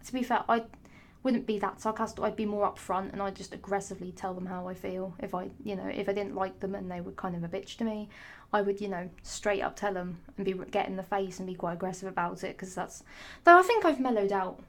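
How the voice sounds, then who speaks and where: Young woman vlogging, hoarse voice, sassy and rambly, swears a lot, keeps restarting sentences. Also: medium pitch, a little fast, slight background noise. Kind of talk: rambling casual monologue.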